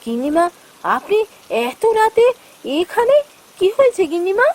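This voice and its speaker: A woman's voice: very high voice, big pitch swings, normal speed, darkish tone. A woman playing an anxious, pleading village woman in a fairy-tale cartoon. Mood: worried, surprised.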